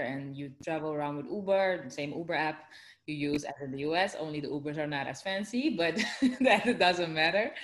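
A woman's voice: lowish voice, reverberant. Chatty woman with a Dutch accent. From Netherlands. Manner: chatty and animated, many fillers and restarts. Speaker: interviewee. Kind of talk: casual interview chat.

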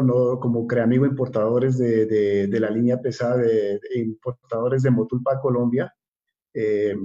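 A man in his fifties from Ecuador with an Ecuadorian accent, a guest telling his story in a video-call interview, halting and repeating words with many fillers. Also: medium pitch, warm tone. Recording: slightly dull video call audio.

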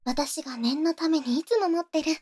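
A woman's voice: high-pitched, normal speed, darkish tone, lightly acted. A woman playing a possessive, sweetly menacing young rich girl in a Japanese ASMR voice drama, with cutesy, coaxing phrasing and whispers. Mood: possessive, coolly threatening.

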